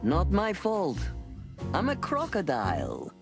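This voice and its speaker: A performer playing a cold-blooded crocodile crime boss in a noir video game, speaking in a measured, formal, condescending way. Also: mid-range voice, wildly swooping pitch, music in the background, full character voice. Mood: cool, unapologetic.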